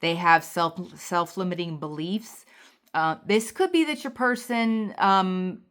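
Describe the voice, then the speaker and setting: A woman doing a casual spiritual tarot reading, speaking haltingly as she goes through the cards. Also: lowish voice, fairly bright tone, clean tone. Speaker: tarot card reader. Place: indoors at a table, away from home.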